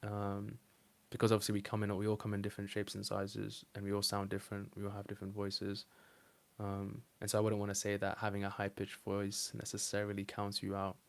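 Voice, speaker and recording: A man's voice: on the low side, monotone, a little fast, vocal fry. A young man coaching on dating, talking casually to camera and answering viewer comments, loose and rambling with many fillers.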